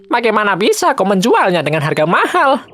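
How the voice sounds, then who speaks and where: A man voicing a weary, exasperated townsperson who complains plaintively in an animated children's story. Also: high-pitched, measured pace, over music. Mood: indignant.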